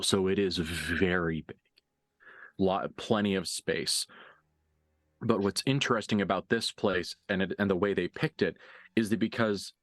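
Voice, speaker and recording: A man working as game master, improvising narration for a tabletop roleplaying game with deliberate, halting phrasing and dramatic emphasis. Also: low voice, no room sound. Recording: dry voice over background music.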